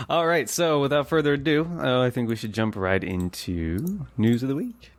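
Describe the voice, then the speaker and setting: A man's voice: medium pitch, lively intonation, a little slow, very noisy. A man hosting a casual gaming podcast, chatting in an informal, enthusiastic way. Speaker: podcast host.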